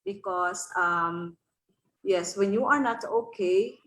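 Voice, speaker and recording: A woman with a Filipino accent answering a question as a resource speaker, thoughtful and halting. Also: medium pitch, fairly bright tone. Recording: roomy echo on online call.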